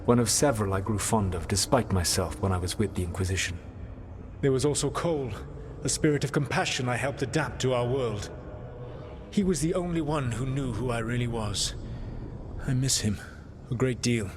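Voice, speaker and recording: A man playing an ancient, proud and regretful elven rebel in a video game, speaking formally and deliberately in a measured, solemn way. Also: mid-range voice, long reverb tail, background noise, close to an everyday voice. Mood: wistful. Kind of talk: video game dialogue acting.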